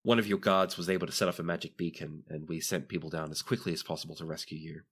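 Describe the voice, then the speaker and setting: A man playing a calm, courteous doctor in a tabletop game, speaking in a measured, formal, reassuring way. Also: extremely low pitch. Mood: formal sympathy. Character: calm, courteous hospital doctor tending crash survivors.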